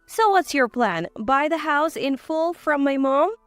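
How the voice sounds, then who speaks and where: Woman acting as avenging wife, assertive, cutting, high voice, animated, dry story recording. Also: unhurried, no echo, soft background music. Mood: cool, scornful. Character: defiant, cool-headed wronged wife turned avenger. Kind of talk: dramatized story dialogue.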